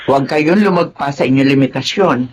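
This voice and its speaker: A woman playing a protective, grateful middle-aged Filipina mother in a radio drama, speaking in a measured, earnest way. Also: a bass voice. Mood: earnest, cautioning. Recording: faint background noise and ambience.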